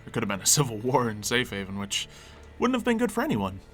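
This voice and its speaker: Man playing quick-talking, level-headed zombie survivor. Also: mid-range voice, huge pitch swings, loud music behind the voice. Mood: calm, reassuring. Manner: conversational, reasoning, questioning. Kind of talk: improvised Minecraft zombie roleplay.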